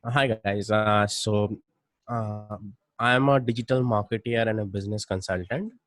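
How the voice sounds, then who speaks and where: A man introduces himself in a matter-of-fact way after a hesitant start. His voice is low. The sound is close and dry. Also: fairly even intonation, a little slow, fairly bright tone, no echo. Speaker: digital marketer, community contributor. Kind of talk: casual self-introduction on a video call.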